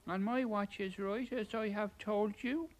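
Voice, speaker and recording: A man's voice: sits high, fairly even intonation, measured pace. A man, playing a put-upon worker who protests to his boss in a vintage radio drama. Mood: protesting. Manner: protesting, pleading tone. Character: put-upon male worker, defensive and talkative.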